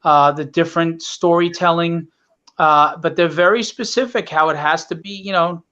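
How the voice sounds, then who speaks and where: A man with a notably high voice talks casually as a guest promoting his own work. He is emphatic and repeats short phrases. The sound is somewhat dull and lo-fi, like a call. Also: fairly even intonation, normal speed, sharp tone, smooth. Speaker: comic book creator, guest.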